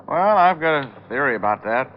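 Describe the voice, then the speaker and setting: An American actor playing a wry, watchful private detective who probes with casual small talk in vintage radio drama style. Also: male voice, medium pitch, animated, fairly quick, close to an everyday voice. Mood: wary needling. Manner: hesitant, probing small talk. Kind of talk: 1940s-50s American radio drama acting. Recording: muffled, noisy old radio recording.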